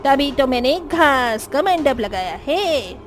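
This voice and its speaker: A woman's voice: high-pitched, big pitch swings, slow, soft background music, exaggerated voice. A woman voicing an eager, persuasive witch wedding planner in a horror-comedy cartoon. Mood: proud.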